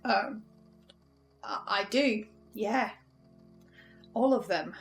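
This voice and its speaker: A woman's voice: medium pitch, very slow. A woman playing an anxious, awkward archivist in an improvised horror drama, hesitant and often stammering or cutting herself off. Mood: uneasy, halting. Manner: hesitant, stammering, self-interrupting. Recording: music behind the voice. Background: music.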